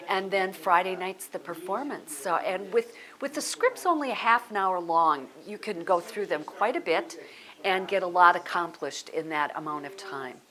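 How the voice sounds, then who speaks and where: A woman's voice: lowish voice, fairly bright tone. An American woman, a theatre director, talking casually in an interview. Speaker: artistic director of radio theatre.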